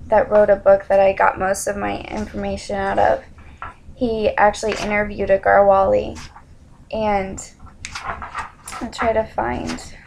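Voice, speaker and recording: A young adult woman giving an informal spoken presentation in a somewhat hesitant, halting way. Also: mid-range voice, poor audio.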